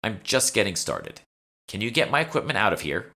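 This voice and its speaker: An exasperated, sarcastic heroine, acted by a male audiobook reader. Her voice is raised in pitch with a forceful edge. She sounds like an irritable, overworked assistant. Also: very animated, a little fast, gravelly, full character voice. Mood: brisk. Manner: sarcastic, profane.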